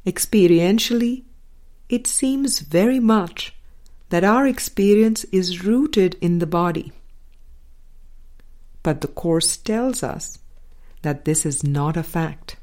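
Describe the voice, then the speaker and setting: A woman reading a spiritual book aloud as scripted narration, in measured, deliberate phrases with pauses. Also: fairly low pitch, animated, a little mellow. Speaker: audiobook reader.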